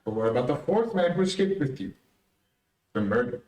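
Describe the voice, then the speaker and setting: An actor playing a grave, mysterious vigilante in 1930s radio drama style, speaking in a measured, commanding, formal way. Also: male voice, mid-range voice, very animated, a little mellow, natural voice. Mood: probing. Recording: roomy, distant-miked stage recording.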